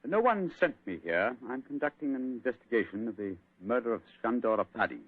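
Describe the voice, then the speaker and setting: An actor playing a sharp, cool-headed male detective in an old radio drama, crisp and deliberate. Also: medium pitch, animated, dry recording. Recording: thin phone-like radio recording, hiss.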